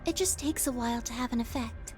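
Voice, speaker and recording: An actress playing a gentle, composed young female caretaker in a video game, polite and precise. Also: fairly high pitch, fast, natural voice. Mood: calm, informative. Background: game music.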